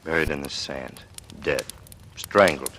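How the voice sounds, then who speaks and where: Very deep, grim avenger, noisy radio. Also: male voice, unhurried, bright, forceful tone, full character voice. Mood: anguished, bitter. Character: grim, worried male friend bent on avenging murders. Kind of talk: 1940s radio drama acting. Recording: noisy old radio broadcast recording.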